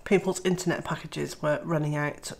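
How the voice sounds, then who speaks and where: A woman's voice: low voice, steady tone, normal speed, gravelly. Woman with a cold, nasal and throaty. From Wales. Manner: chatty, many uh fillers. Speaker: homesteading vlogger. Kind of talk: casual vlog to camera.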